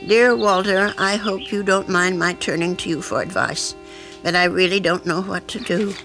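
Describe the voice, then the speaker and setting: A woman's voice: fairly low pitch, moderate pace, fairly bright tone, soft background music, low-quality recording. An actress playing an anxious, flustered elderly landlady with a hesitant manner in an old radio drama. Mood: wistful, troubled. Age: older. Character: anxious, fretful elderly landlady, reluctant but conscientious. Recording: vintage radio drama recording.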